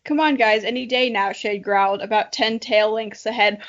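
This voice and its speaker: A woman's voice: mid-range voice, fairly even intonation, normal speed. A young adult woman reading aloud and commenting casually in a playful, self-mocking way as a podcast co-host. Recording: dull, lo-fi audio.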